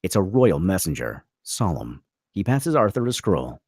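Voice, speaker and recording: Low male narrator, animated intonation, dry close sound, dramatic screenplay reading, stage directions. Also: normal speed, breathy edge, dry recording. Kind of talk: dramatic scripted narration at a table read.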